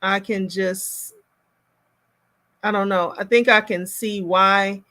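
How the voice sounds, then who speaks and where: Female reaction host, casual and unhurried, halting delivery as she works through her opinion. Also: medium pitch, fairly even intonation, sharp tone. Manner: halting, musing, thinks aloud.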